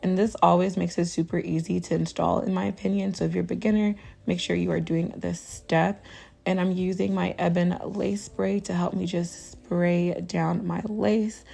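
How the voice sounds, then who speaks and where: A young woman with a hoarse, raspy voice from tonsillitis, talking through a hair tutorial in a casual, chatty way with lots of "you know.". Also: fairly low pitch, normal speed. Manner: chatty, relaxed, full of fillers like "you know". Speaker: beauty vlogger.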